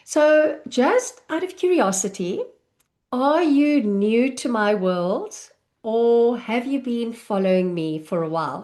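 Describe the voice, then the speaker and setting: South African woman, 50s, parent coach. Slow, friendly, conversational delivery over a video call. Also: a little high. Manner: warm, unhurried, chatty with small laughs. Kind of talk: live online masterclass.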